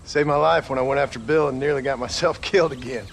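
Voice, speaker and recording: An actor playing a plainspoken, laconic reformed gunslinger and husband with an American frontier drawl, in a video game. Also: male voice, medium pitch, steady tone, fast talker, creaky, slight background noise, close to an everyday voice. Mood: wry, defensive. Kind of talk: video game dramatic dialogue.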